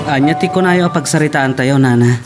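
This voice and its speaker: A man's voice: a little high, brisk, soft background music, lightly acted. A man playing an earnest young man in an audio drama, sounding troubled and subdued. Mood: concerned. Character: earnest, troubled young man worried about his sweetheart. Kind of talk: Ilocano radio-style drama acting.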